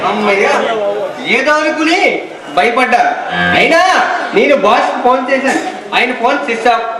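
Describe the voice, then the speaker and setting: A man's voice: very high-pitched, animated, normal speed, a crowd in the background. An actor playing a rowdy, hard-drinking political gang man in a Telugu stage play, projecting theatrically. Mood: exasperated. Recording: echoey stage recording with loud music.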